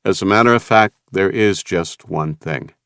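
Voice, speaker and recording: A calm, capable man offering a deal in a low, even voice, as a narrator reads him. He speaks plainly and without fuss. The sound is dry and close. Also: moderate pace, clear, resonant tone, no echo. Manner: even, understated.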